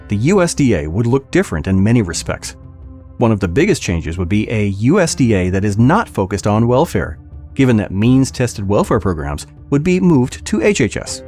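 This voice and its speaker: A man's voice: lowish voice, a little mellow. A man reading a formal policy document aloud as a scripted podcast narration.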